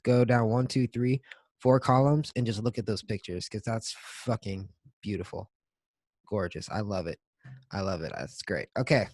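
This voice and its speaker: A man's voice: fairly low pitch, normal speed, darkish tone, dry recording, studio quality. A man co-hosting a podcast in a casual, comedic chat, talking in profane slang. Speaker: podcast co-host.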